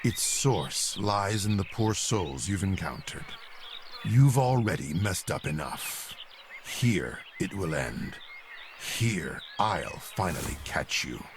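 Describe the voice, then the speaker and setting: An actor playing a brooding male sage sorcerer, delivering a solemn, deliberate monologue in a fantasy game. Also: deep voice, sing-song, background noise, full character voice. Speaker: narrating protagonist.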